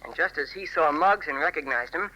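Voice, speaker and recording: Eager, bright-voiced teenage boy. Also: on the high side, brisk, slight background noise, rough recording. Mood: earnest, urgent. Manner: earnest, boyish, eager storytelling. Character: eager, earnest teenage cub reporter boy. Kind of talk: 1940s radio acting.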